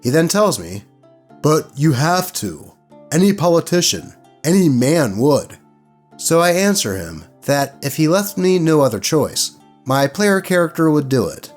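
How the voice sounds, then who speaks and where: A man hosts a story-reading show with an expressive, theatrical delivery. His pitch swings freely as he reads out posts and adds his own asides. Soft music plays behind his narration. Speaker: host narrator of online tabletop horror stories.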